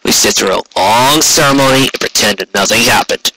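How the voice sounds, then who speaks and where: A man's voice: fairly low pitch, a little fast, bright, forceful tone, slight background noise, rough recording. A man voicing a weary, wounded male pony guard captain, proud and dutiful, speaking in a tired, firm and terse way.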